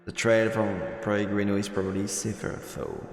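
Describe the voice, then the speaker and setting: A man's voice: lowish voice, level tone, normal speed. A man streaming a live let's play and reading the game's narration aloud over music. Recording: roomy, echoing mic over game music.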